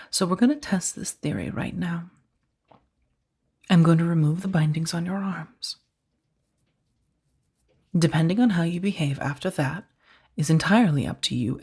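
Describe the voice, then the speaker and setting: Woman acting as a female crime boss, soft and husky, mellow tone, controlling, seductive, measured menace, ASMR roleplay. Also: on the low side. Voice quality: soft, breathy, often slipping toward whisper. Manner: measured, commanding, teasingly menacing. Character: powerful female mafia boss, coolly controlling, seductive kidnapper. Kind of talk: soft-spoken ASMR roleplay addressed to the listener.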